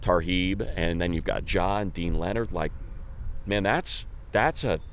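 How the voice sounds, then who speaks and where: A man's voice: low voice, measured pace, a little hiss or hum. An American man hosting a sports show, talking casually in a rambling, slangy way. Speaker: sports radio host.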